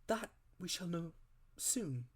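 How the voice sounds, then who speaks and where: A man voicing a calm, genial gentleman doctor in a storybook reading, measured and courteous. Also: high voice, breathy edge, pitched above the performer's own voice, heavily acted. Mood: measured, probing.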